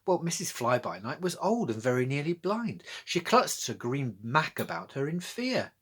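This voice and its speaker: A man's voice: fairly high pitch, big pitch swings, moderate pace, fairly bright tone, breathy edge. A British man narrating a scripted children's storybook as its author, reading aloud.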